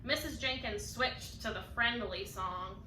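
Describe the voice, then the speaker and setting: High bright lady reading kids bedtime story, expressive, roomy echo, bit far from the mic. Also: measured pace. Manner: animated, acts out characters' lines. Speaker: storybook reader. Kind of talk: expressive children's bedtime story read-aloud. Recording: roomy, distant mic, faint noise.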